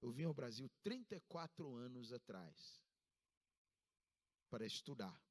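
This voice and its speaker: A man in his fifties from Ghana, preaching a sermon in a church service with a Ghanaian accent, with emphatic rises in pitch. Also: big pitch swings, measured pace. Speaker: pastor.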